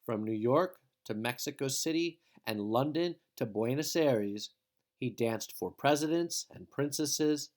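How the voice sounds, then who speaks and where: A man reading a children's picture book aloud in an expressive, dramatic storytelling style. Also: medium pitch, unhurried. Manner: dramatic, with emphatic exclamations.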